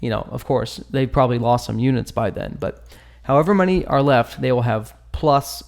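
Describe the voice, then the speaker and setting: A man with a mid-pitched voice talks through a game battle as a channel host. His delivery is informal and conversational, full of slang and joking asides. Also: normal speed, gravelly. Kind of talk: casual gameplay commentary, analysing a battle report.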